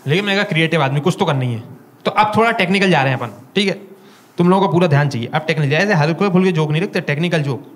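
Animated young Indian comic on a club stage. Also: male voice, a little high, racing. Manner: animated storytelling, acting out dialogue. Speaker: stand-up comedian. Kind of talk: stand-up comedy set. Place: small comedy club stage before a live audience. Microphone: handheld stage mic.

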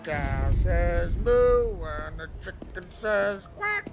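A man's voice: high voice, lively intonation, drawn-out words, full character voice. An actor playing a drunk, petulant gangster sidekick in his thirties in a crime game. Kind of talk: cinematic crime game acting. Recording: music behind the voice.

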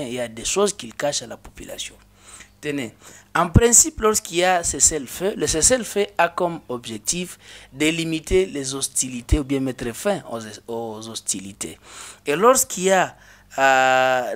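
Congolese man, thirties, somewhat high pitch, earnest, restarts often, studio desk mic. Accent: Congolese French. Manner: earnest, with frequent restarts and hesitations. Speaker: interview guest. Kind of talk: studio interview. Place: small indoor studio. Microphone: desk microphone on a boom arm.

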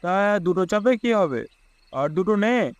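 Man playing a high-voiced village uncle. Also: expressive, slow, dry recording, highs cut off, full character voice. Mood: kindly, concerned. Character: kindly aging village snack-shop uncle. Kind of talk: cartoon story voice acting.

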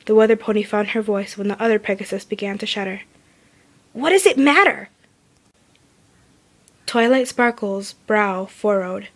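A woman's voice: mid-range voice, darkish tone. A woman reading fan fiction aloud as scripted story narration.

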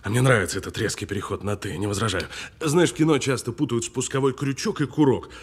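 An actor playing a cool, sardonic detainee who needles a policeman with deliberate, mocking delivery in an audio drama. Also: medium pitch, husky, lightly acted. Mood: mocking, menacing. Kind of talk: naturalistic audio film drama acting.

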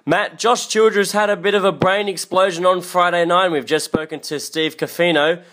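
Halting male sports interviewer. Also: high voice, fairly even intonation, bright, forceful tone. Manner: halting, repeats words. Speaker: sports radio host and interviewer. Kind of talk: broadcast interview.

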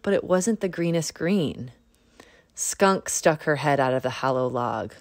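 A woman narrating a children's picture book in a measured read-aloud manner. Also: deep voice, animated, dry recording, studio quality. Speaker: storybook narrator. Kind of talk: scripted children's story narration.

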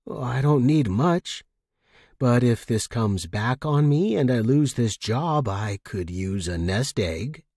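A man's voice: mid-range voice, lively intonation, slow, a little mellow, husky, no room sound, studio quality, heavily acted. A man voicing a wary, fragile space-station loner who feigns casualness, in an audiobook. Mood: calculating. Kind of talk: audiobook character dialogue.

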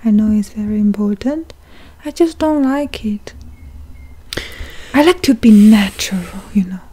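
A woman's voice: medium pitch, measured pace, warm tone, husky. A woman co-hosting a podcast in casual, flirty ASMR chat, playful and teasing, close to the microphone. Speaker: podcast co-host.

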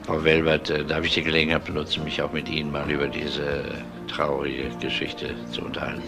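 An actor playing a methodical police inspector in a crime drama, questioning in a deliberate, polite manner. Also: male voice, rumbling low, monotone, vocal fry, over music. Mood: polite, probing. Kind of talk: German TV crime drama acting.

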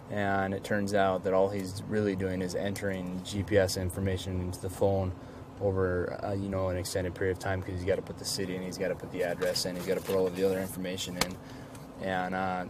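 A state trooper calmly explaining, step by step, how he spotted a driver using a phone. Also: sits low. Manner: calm, matter-of-fact, explanatory. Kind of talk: explaining a traffic stop to camera. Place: inside a patrol car on a highway. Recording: noisy in-car camera audio.

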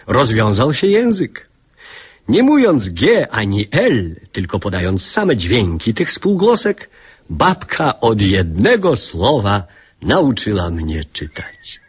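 Reflective storyteller, very rough husky voice, highly varied intonation, measured radio drama narration. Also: male voice, full character voice. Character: reflective adult man recalling his childhood.

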